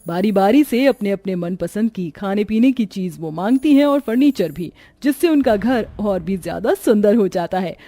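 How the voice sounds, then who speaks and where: A woman's voice: medium pitch, expressive, moderate pace, hardly any pauses, warm tone, dry recording. A woman giving scripted narration for a cartoon story.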